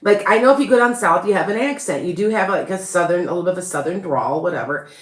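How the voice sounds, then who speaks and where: Low-voiced chatty woman, Chicago accent. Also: fast, reverberant. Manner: rambling and chatty, with frequent laughs and restarts. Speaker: psychic reader and live-stream host. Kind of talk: casual live-stream chat.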